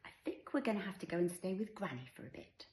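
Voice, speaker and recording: A woman voicing a playful, conspiratorial and gently decisive young mother in a story reading. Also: fairly low pitch, lively intonation, fast talker, reverberant, natural voice. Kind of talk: audiobook character voice.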